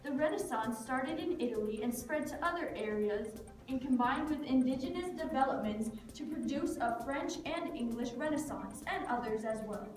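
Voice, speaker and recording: A woman with a high voice reads scripted narration for a puppet show. The room rings heavily with echo and she is slightly far from the mic, with faint music behind. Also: expressive, moderate pace, a little mellow.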